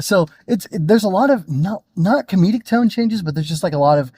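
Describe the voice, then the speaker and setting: A man's voice: mid-range voice, mellow, no echo. A man podcast host giving a casual, unscripted solo review, thinking aloud and often interrupting himself as he rambles.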